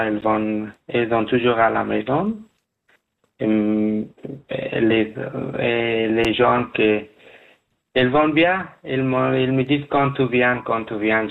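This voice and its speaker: A man from Afghanistan, speaking as a guest in a radio interview, halting, with many restarts. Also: mid-range voice, fairly even intonation, creaky, phone-quality sound. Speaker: guest job seeker.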